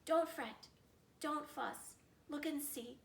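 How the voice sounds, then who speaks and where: A woman's voice: high-pitched, slow pace, gravelly, heavily acted. A woman voicing a small, kindly storybook mouse that coaxes and soothes its friend, in an echoey room heard from a distance. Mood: soothing. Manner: soothing, coaxing. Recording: echoey room, mic at a distance, faint noise.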